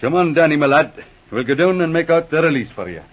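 A husky, folksy city cop. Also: male voice, fairly high pitch, lively intonation, quick pace. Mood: amiable. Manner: colloquial, folksy. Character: folksy, excitable city policeman, talkative and colloquial. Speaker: actor in a radio serial. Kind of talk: 1940s radio acting. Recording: dull lo-fi vintage radio recording.

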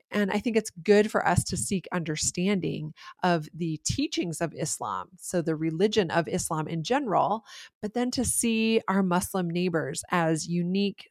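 A woman hosting a podcast in an earnest, reflective way. Her voice sits on the low side, and the sound is very dry and close with no room around it. She talks with thoughtful sincerity. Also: dry recording. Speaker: podcast host. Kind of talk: podcast intro and interview.

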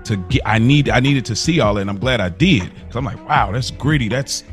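An American man co-hosting a podcast in casual conversation, telling things in an animated, slangy way. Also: medium pitch, fairly quick, soft background music. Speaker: podcast co-host.

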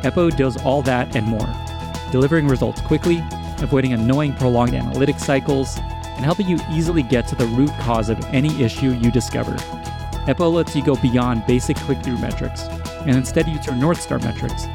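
A man hosts a podcast, reading sponsor spots in a smooth, scripted way before turning to questions for his guest. His voice sits in an ordinary middle pitch. Guitar music plays clearly behind him. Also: brisk. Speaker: podcast host. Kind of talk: read ad spots and interview questions.

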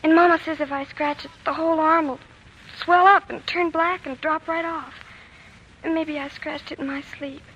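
A high, bright little girl's voice, earnest and plain-spoken in a childlike working-class way. She is a loving daughter and protective big sister in an old radio drama, with faint noise on the broadcast. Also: steady tone, normal speed, muffled recording. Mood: worried. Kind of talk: 1940s radio drama acting.

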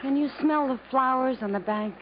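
A woman's voice: high-pitched, normal speed, lightly acted. A woman acting in a 1950s radio drama, playing a subdued, wistful, resigned woman with a dreamy, restrained way of talking. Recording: muffled, noisy 1950s radio broadcast.

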